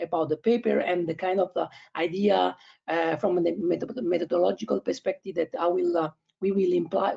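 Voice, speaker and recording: A man in his thirties with an Italian and Spanish accent, presenting an online academic seminar in a halting way, with many fillers and restarts, over a video call from home. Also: high voice, gravelly, a little mellow. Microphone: laptop webcam, video call. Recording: dull lo-fi video call audio.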